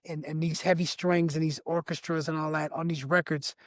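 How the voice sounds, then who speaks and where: A raspy man with a fairly high speaking voice chats as a podcast guest. He talks emphatically and warmly about singers, building up his praise. The sound is close and dry, with no room around him. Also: little pitch movement, fairly quick, no echo. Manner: effusive, emphatic storyteller. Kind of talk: casual podcast conversation.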